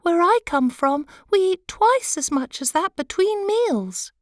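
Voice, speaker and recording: Tiny sea beast for a kids story, way high voice, cheeky n greedy but polite, dry close sound. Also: female voice, big pitch swings, measured pace, no echo, exaggerated voice. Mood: innocent, unimpressed. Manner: formal, guileless address to a king.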